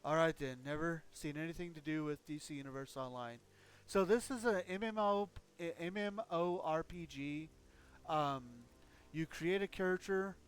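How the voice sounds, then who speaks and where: Man, game streamer, slightly high voice, smooth tone, scratchy throat, dry close mic, rambling fillers. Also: normal speed. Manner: rambling, loose explanations with frequent fillers. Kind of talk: casual live-stream chat.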